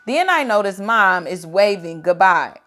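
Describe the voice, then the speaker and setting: A woman reads a picture book aloud as a teacher would to young children. Her delivery is slow and deliberate, with dramatic expression and a medium pitch. Faint music plays behind her. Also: animated, a bit bright.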